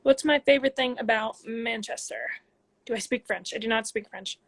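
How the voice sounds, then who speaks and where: A woman in her twenties, speaking from a room at home into a phone, chats casually with many ums as she reads out viewer questions and answers them, sometimes dropping to soft asides. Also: on the high side, normal speed. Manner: reads comments aloud, chatty, frequent ums, drops into soft asides. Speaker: athlete hosting a live Q&A.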